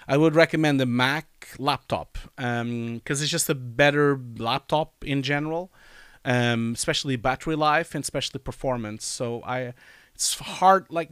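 A man's voice: medium pitch, a bit bright, no echo, studio quality. A man in his forties with a nasal, scratchy cold voice, hosting a casual live Q&A from a home study and reading chat questions aloud with many fillers. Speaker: live stream host answering chat. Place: home study with bookshelves, indoors. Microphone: studio mic on boom, wearing headphones.

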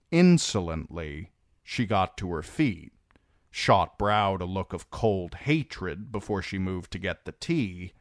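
A man reading a novel chapter aloud in a measured, steady storytelling voice. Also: on the low side, dry recording, studio quality. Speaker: audiobook reader.

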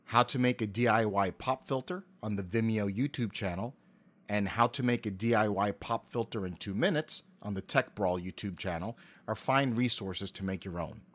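Articulate middle-aged man, measured delivery. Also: lowish voice, fairly bright tone. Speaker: interview guest, amateur voice actor. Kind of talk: prepared interview answers. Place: home office. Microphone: cardioid dynamic mic with pop filter, on a stand.

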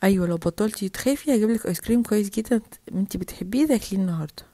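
A woman voicing a calm, coaxing mother in Egyptian Arabic, reassuring a small girl in a children's story. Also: mid-range voice, very fast, a little hiss or hum, voice pushed down, natural voice. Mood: soothing, coaxing.

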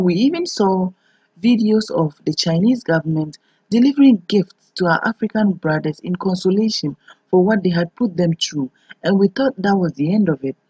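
A woman with a low, husky voice narrates a measured, earnest commentary. The recording is dry and close, slightly dull. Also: mellow, no echo. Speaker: host. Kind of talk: scripted commentary voice-over.

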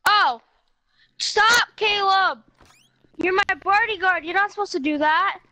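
Very high, bright, sassy female gamer. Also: measured pace, no echo. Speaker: player in a crime roleplay game. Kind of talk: casual in-character roleplay in an online game.

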